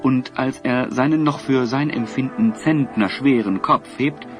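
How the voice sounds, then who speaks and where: Male storybook narrator, medium pitch, measured and expressive, scripted audio drama with faint music.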